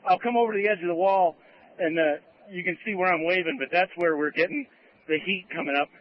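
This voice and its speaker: A man's voice: high voice, fast talker, no echo. A man speaking as a firefighter over a two-way radio from a fire scene. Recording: dull lo-fi scanner radio audio.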